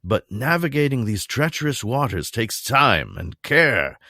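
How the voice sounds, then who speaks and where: A man playing a measured older society leader. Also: medium pitch, big pitch swings, bright, forceful tone, no echo, studio quality, full character voice. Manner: soothing, diplomatic, mediating. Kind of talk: audio drama acting.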